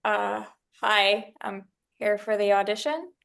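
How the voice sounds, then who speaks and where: An actress plays an eager, apologetic young woman who works in a factory. Her voice crackles with fry, and she speaks politely and hesitantly in a screenplay read-through. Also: mid-range voice, takes it slowly, a bit bright. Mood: nervous, friendly. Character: Jennifer, eager, apologetic young fit female factory worker.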